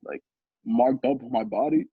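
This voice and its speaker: Young male guest, deep husky voice, laid-back rambling talk, dull recording. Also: normal speed, dry recording. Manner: relaxed, rambling with restarts and laughs. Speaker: interview guest, musician. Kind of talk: casual interview talk.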